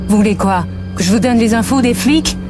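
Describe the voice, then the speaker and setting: A woman's voice: a little high, brisk, natural voice. A woman playing a defiant, sarcastic, blunt working mother in a French audio drama. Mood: defensive, exasperated. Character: defiant sarcastic mother, cornered police consultant. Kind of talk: French audio fiction acting. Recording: music behind the voice.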